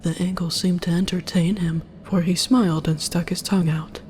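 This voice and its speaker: A narrator reading a first-person story aloud in a measured, hushed, breathy delivery. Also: medium pitch, flat delivery. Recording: music under the voice.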